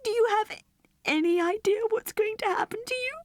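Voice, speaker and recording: A woman's voice: normal speed, no room sound. A woman voicing a distraught, defiant little schoolgirl in an audiobook story, pleading and emotional. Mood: tearful. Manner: pleading, emotional, high-pitched outbursts.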